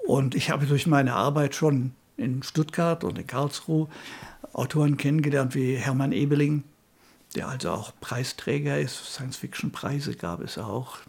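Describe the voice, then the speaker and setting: A man's voice: mid-range voice, a little mellow. An older man talking reflectively in an interview about his work as a radio director. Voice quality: husky, creaky. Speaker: retired radio drama director being interviewed.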